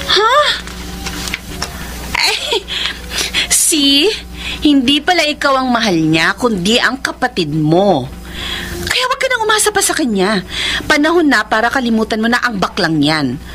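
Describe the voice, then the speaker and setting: An actress playing a blunt, sassy best friend in a Filipino radio drama, emphatic and scolding in a Filipino accent, mixing in English. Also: female voice, on the high side, huge pitch swings, a little slow, bright, forceful tone, exaggerated voice. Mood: goading, blunt.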